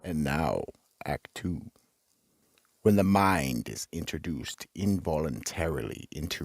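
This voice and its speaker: A man's voice: extremely low pitch, creaky, husky, no room sound. A man narrating a scripted horror drama in an ominous, dramatic storytelling manner.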